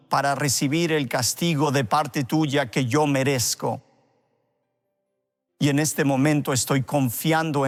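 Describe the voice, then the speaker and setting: A man delivering a sermon and leading prayer as a preacher, speaking earnestly in deliberate phrases. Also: fairly high pitch. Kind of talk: sermon with an altar call and prayer. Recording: long hall reverb.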